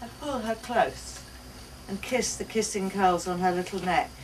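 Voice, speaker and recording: A woman giving an expressive first-person reading of a short story at a live event. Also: medium pitch, unhurried. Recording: distant mic, faint room noise.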